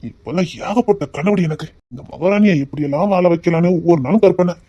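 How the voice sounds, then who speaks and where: A woman's voice: on the low side, fast talker, highs cut off, natural voice. A woman playing a teasing young lover with a lilt. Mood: tender. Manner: teasing, then softly tender. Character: young man courting his sweetheart, teasing. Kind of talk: amateur romantic drama.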